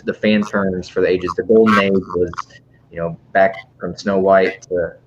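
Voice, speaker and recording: Guy with a low voice moderating an online talk, casual, kinda muffled lo-fi mic with a bit of noise. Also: steady tone, measured pace. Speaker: library program moderator. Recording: dull lo-fi online call, clear background noise.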